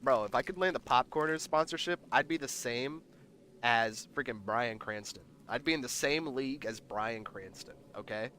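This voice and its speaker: A man's voice: mid-range voice, moderate pace, bright, forceful tone, a little hiss or hum. A man hosting a livestream, chatting casually and rambling while reading the chat aloud, congested and nasal. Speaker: livestream host.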